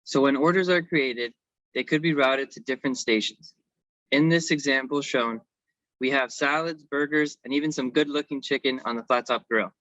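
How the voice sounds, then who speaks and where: A man's voice: medium pitch, moderate pace, fairly bright tone, dry recording. A man giving a semi-scripted technical presentation as an engineering consultant, on a slightly dull recording.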